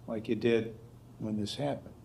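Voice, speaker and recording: A man with an American accent speaking as a judge, questioning and admonishing in a halting, stern way. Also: fairly low pitch, steady tone, a little mellow, slight background noise. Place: indoor courtroom. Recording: echoey room sound.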